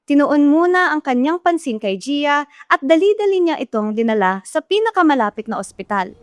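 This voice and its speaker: A woman's voice: sits high, very animated, normal speed, dry recording. A woman with a Filipino accent giving scripted storytelling narration for a radio drama.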